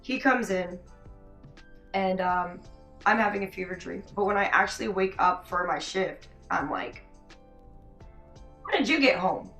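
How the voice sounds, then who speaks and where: A young woman with a raspy, hoarse voice, telling a rambling story in a casual vlog. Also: fairly low pitch, expressive, a little slow, long pauses, a bit bright. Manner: chatty, laughing, many likes and restarts. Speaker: vlogger. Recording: roomy echo, faint music behind.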